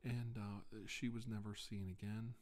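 Slow, halting low-voiced man playing a shaken witness. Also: flat delivery, darkish tone, natural voice. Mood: grave, deliberate. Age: middle-aged. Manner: halting, hesitant, subdued, deliberate. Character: shaken middle-aged male doctor, alien abduction witness, solemn. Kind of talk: slow ASMR roleplay deposition. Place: indoors, seated at a desk.

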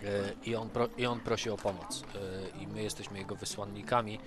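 A man's voice: on the low side, little pitch movement, brisk, over music. A man taking part in a tabletop role-playing game, thinking aloud hesitantly as he describes what his character says and does.

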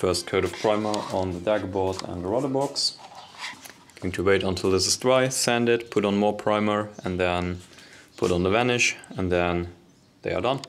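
A man's voice: deep voice, normal speed, a little hiss or hum. A young man casually talking through his woodworking steps in a garage workshop, recorded on an action camera. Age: young adult. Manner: easygoing, explains steps as he works. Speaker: hobby boatbuilder. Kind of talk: casual workshop vlog narration.

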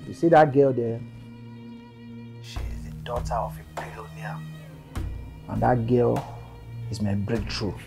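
Menacing, creaky-voiced Nigerian kidnapper. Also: male voice, mid-range voice, mellow, faint music behind. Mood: scheming, threatening. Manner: slow, deliberate, threatening. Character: menacing male kidnapper making cold threats by phone. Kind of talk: dramatic film acting.

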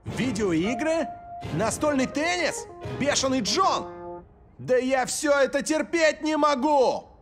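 A man's voice: very high-pitched, big pitch swings, takes it slowly, heavily acted. A man voicing a stubborn, indignant young soccer player in a cartoon, slangy and grumbling with emphatic delivery. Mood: stubborn, exasperated. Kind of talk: animated cartoon dubbing. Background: music.

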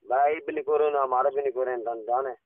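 A man with a Rajasthani accent on a casual phone call, explaining in short, halting fragments. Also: mid-range voice, steady tone, dry recording. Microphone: telephone. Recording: tinny, narrow phone call recording.

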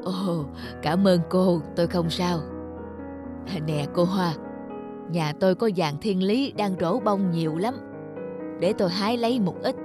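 A woman voicing a kindly elderly male neighbor in a cartoon, speaking slowly, gently and apologetically. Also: mid-range voice, close to an everyday voice. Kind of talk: animated cartoon voice acting.

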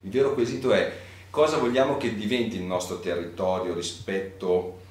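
A man's voice: on the low side, fairly even intonation, moderate pace. A middle-aged man, speaking in a political interview. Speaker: local administrator. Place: wood-panelled office indoors. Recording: very echoey room, distant mic.

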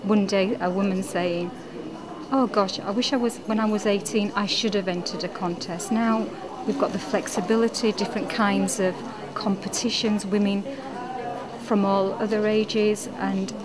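A woman's voice: mid-range voice, steady tone, moderate pace. Chatty woman contestant over crowd chatter. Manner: chatty, thinks aloud. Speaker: pageant contestant. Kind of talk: interview answers.

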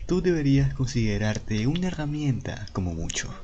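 A man with a Latin American Spanish accent voicing a cold, condescending older masked schemer in a video game fan dub, speaking in a measured way. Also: medium pitch, big pitch swings, mellow, muffled recording, a little hiss or hum.

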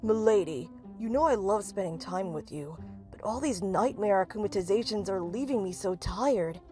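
A woman's voice: mid-range voice, animated, moderate pace, mellow, faint music behind, natural voice. A woman voicing a tired, snarky, easily flustered teenage boy in an exasperated, dramatic comic dub style. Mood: weary.

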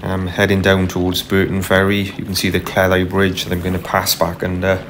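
A man narrating casually as he paddles a kayak on a river, unhurried, with frequent ums and uhs. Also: low-pitched, monotone, background noise. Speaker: kayaker vlogger. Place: kayak on a tidal river outdoors. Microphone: camera mounted on the kayak.

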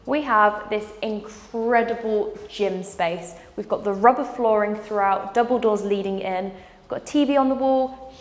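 A young woman presenting a walking tour of a large house, enthusiastic and gesturing as she goes, on a lapel mic. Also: medium pitch. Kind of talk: upbeat house tour narration. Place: large furnished house indoors.

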